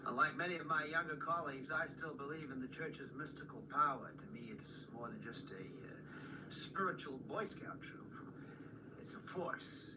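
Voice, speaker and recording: A man's voice: on the high side, close to an everyday voice. Man playing a wry, learned priest, distant recording. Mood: grave, guarded. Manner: erudite, wry, measured. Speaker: actor in a radio drama. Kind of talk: radio drama acting. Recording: distant, noisy replay of radio drama.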